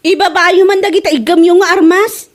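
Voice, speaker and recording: A woman's voice: extremely high pitch, fairly even intonation, fairly quick. A woman playing a concerned, assertive daughter in a family comedy drama, questioning and pleading in an Ilocano accent. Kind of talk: radio-style comedy drama acting.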